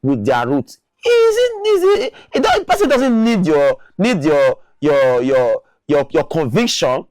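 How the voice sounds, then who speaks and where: A man's voice: on the high side, huge pitch swings, unhurried, hardly any pauses, a little mellow, no room sound. A man giving argumentative opinion commentary, repeating words for emphasis. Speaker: online commentator.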